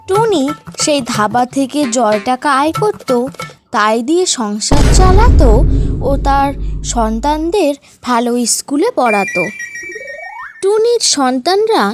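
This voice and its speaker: A woman narrates a children's story from a script. Her voice is high and very animated, with music clearly behind it.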